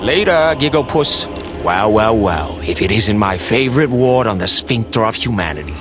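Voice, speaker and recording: Guy doing a smartass swordsman merc for a game, kinda low voice, always mocking, loud music behind. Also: lively intonation, normal speed, natural voice. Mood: breezy mockery. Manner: flippant, mocking banter. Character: wisecracking cocky male swordsman mercenary. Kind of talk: video game cutscene voice acting.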